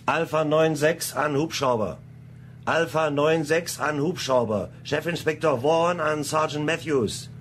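An actor playing a calm, methodical, authoritative police chief inspector in a German crime radio drama. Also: male voice, on the high side, unhurried, a little hiss or hum. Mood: loud, urgent dictation.

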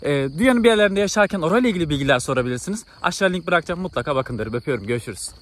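Fast-talking young man, chatty. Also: on the high side, animated. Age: 20s. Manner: informal, chatty. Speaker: travel vlogger. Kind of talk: casual chat to camera. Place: outdoors on trails and in a village. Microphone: phone or action camera at arm's length. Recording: outdoor ambience and background noise.

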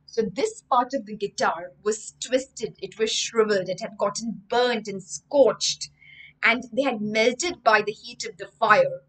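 A woman's voice: on the high side, a little slow, fairly bright tone, low-quality recording. A young woman tells a story to children as an English teacher, emphatically repeating words with synonyms. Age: young adult. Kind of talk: storytelling vocabulary lesson for children. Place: indoor room with bookshelves.

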